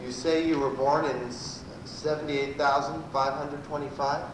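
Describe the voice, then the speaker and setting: Man acting a halting, pompous prosecutor, echoey and distant. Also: fairly high pitch, level tone, close to an everyday voice. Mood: probing. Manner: stumbling, halting delivery. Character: pompous, badgering male prosecuting lawyer in sci-fi parody. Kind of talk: amateur old-time radio comedy acting. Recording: roomy, distant, noisy amateur live recording.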